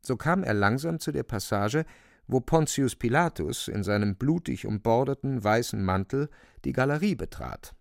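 A male narrator with a creaky, gravelly voice at medium pitch. He delivers scripted literary narration for a radio drama, in a very dry, close sound. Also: expressive, normal speed, no echo.

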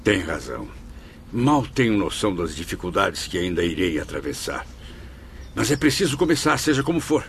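An actor playing a humble, eager spirit writer in a Brazilian Portuguese radio drama, speaking formally and deliberately. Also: male voice, sits low, big pitch swings, vocal fry, a little hiss or hum, exaggerated voice. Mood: resolved.

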